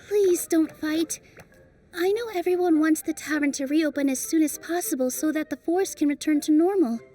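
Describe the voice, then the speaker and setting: A woman voicing an earnest young traveler, gentle and peacemaking, in a game cutscene. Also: high voice, moderate pace, far from the mic, lightly acted. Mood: coaxing. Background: music.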